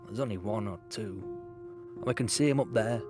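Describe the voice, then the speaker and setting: An actor playing a young British soldier, earnest and shaken, recalling the war haltingly in an audio drama. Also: male voice, on the low side, music in the background, lightly acted. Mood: uneasy, reflective. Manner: halting, plain-spoken, reflective. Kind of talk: audio drama monologue, conversational recollection.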